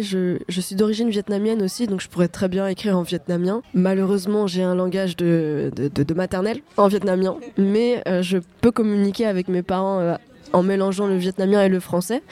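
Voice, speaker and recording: A woman's voice: mid-range voice, dark tone. A young woman from France, a singer-songwriter answering radio interview questions, hesitant with many fillers and restarts.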